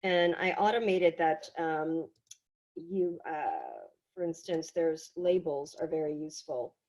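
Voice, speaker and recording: Woman workshop presenter, low-leaning pitch, a bit hoarse with a cold, casual screen-share tutorial, halting conversational delivery. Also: big pitch swings, darkish tone. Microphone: video call.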